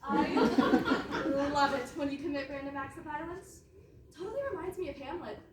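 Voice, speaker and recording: A woman plays a scheming, villainous princess with a high voice. She is commanding and melodramatic, in broad stage-comedy style. The pickup is distant and clearly noisy. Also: normal speed, natural voice. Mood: gleeful. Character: scheming, villainous Cinderella, dramatic young princess. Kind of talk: comic stage play acting. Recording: echoey room, distant mic, noisy.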